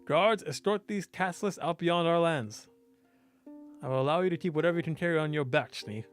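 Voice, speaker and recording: A man's voice: fairly high pitch, a little fast, faint music behind, pitched above the performer's own voice, natural voice. Man putting on a haughty, stern duke. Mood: commanding. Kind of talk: visual novel character reading.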